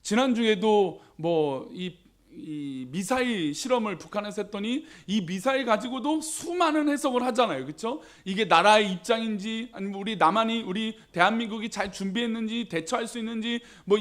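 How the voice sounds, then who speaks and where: A male pastor delivers a sermon in an easy, conversational tone, often turning to the congregation with questions. His voice sits high for a man. His pitch swings widely as he talks. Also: expressive, normal speed, few pauses, fairly bright tone.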